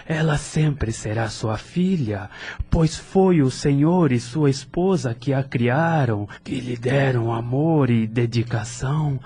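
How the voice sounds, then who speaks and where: A man acting a middle-aged grieving widower in an audio drama, with a husky, breathy voice, a Brazilian accent and a halting, emotional, formal delivery. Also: mid-range voice, dark tone. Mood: tender, resolute. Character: grieving, tender widower, deeply moved gentleman.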